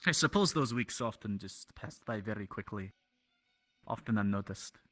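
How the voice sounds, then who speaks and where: Man playing a bright, lilting scholarly townsman. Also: lowish voice, animated, soft background music. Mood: reflective. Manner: halting, courteous, old-fashioned phrasing. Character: polite, scholarly townsman in a fantasy town. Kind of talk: improvised virtual-reality roleplay.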